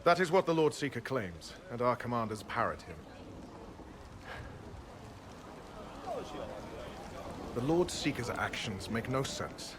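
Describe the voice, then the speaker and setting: Male actor as a dutiful knight for a fantasy game: grave, formal, mid-pitched, wary of orders, noisy ambience behind. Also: close to an everyday voice. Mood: grave, frustrated. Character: earnest, duty-bound Templar knight, wary of his orders. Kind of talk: dramatic video game cutscene acting.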